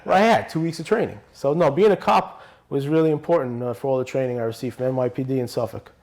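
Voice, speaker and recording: A man's voice: medium pitch, fast, a little mellow. A man, a guest on a podcast telling stories in a blunt, loose way with many restarts. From Brooklyn, New York. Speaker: podcast guest, retired police officer. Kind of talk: casual interview conversation.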